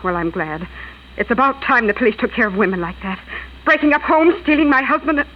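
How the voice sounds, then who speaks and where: An American actress playing a bitter, flustered wronged wife in a 1940s radio drama. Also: female voice, fairly high pitch, animated, a little fast, close to an everyday voice. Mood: indignant, bitter. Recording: dull old radio recording, faint noise.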